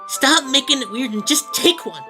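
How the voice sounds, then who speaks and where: A woman's voice: high voice, lively intonation, moderate pace, music in the background. A woman playing a small, moody, proud teenage schoolboy in an audio drama, brusque and defensive. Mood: flustered, defensive.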